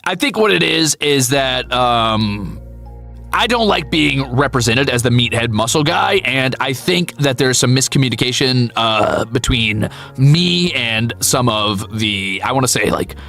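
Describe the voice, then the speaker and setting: A man streams casually over soft background music. His voice is bright and forceful with plenty of gravelly fry. He talks candidly and playfully, swearing and mocking himself as he answers viewers. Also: mid-range voice, lively intonation, unhurried. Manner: candid, playful, profane, self-deprecating. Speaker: VTuber streamer and voice actor.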